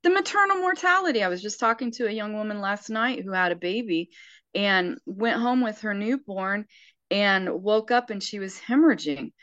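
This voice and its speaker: A woman's voice: mid-range voice, animated, normal speed, fairly bright tone, no room sound. Earnest sixty-year-old woman, dull dry sound. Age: 60s. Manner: earnest, emphatic, with frequent restarts. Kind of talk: casual conversation.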